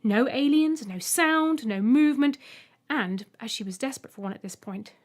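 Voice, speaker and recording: A woman reading a scripted short story as an audiobook narrator, with expressive storytelling. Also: on the high side, moderate pace.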